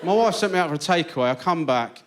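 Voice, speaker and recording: A British man doing a stand-up set in a theatre, telling stories with deadpan punchlines. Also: fairly high pitch, fast, a faint crowd. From Britain. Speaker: stand-up comedian. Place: large theatre with live audience. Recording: stage mic in theatre. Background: loud audience laughter.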